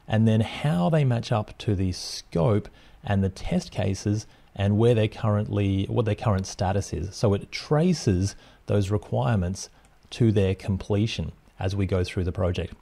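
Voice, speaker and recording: A man in his thirties explaining project plans over slides, speaking steadily with occasional ums and uhs. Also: lowish voice. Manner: plain, steady teaching with frequent fillers. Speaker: project management instructor.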